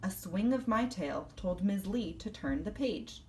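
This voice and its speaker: A woman reading a children's storybook aloud in a deliberate, expressive way. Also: mid-range voice, a little hiss or hum. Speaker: storybook reader.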